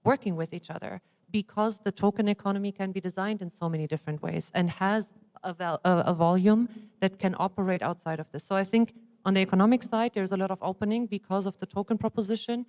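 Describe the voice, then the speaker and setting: A woman with a smooth, resonant voice speaks reflectively in an academic discussion. She searches for words now and then. Her voice is carried by the long reverb of a conference hall. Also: mid-range voice, steady tone, fast, a little mellow.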